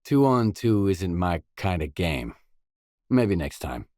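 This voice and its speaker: A wary stalker for hire from a video game, speaking in a lowish male voice. He is blunt and terse, keeping things dry and matter-of-fact. The recording is very dry, with no room sound around the words. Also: very animated, takes it slowly, warm tone, no room sound, heavily acted. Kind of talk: scripted game voice lines.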